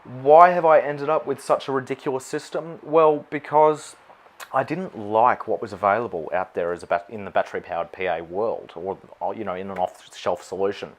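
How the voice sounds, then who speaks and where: A man's voice: on the low side, moderate pace. A man with an Australian accent giving an unscripted, informal product explainer in a conversational way. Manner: informal, conversational, mildly sweary. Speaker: wedding celebrant presenting his gear.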